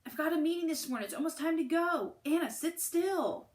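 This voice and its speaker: A woman voicing a harried mother in a storybook, brisk and scolding with her young children. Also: very high voice, voice pushed up. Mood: rushed.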